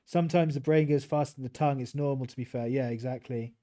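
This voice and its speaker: A man's voice: medium pitch, steady tone, fast, warm tone. Easygoing British man streaming a game. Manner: easygoing, chats with viewers while playing. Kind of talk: relaxed gameplay livestream commentary.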